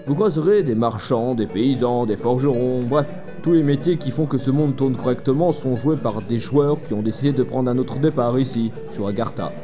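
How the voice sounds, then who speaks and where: A man acting as an easygoing innkeeper in a fantasy audio fiction. He explains things in a plain, matter-of-fact way at a middling pitch. Music plays clearly behind him. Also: moderate pace, natural voice. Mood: plain explaining. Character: easygoing innkeeper player who shuns heroics. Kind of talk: French audio fiction dialogue.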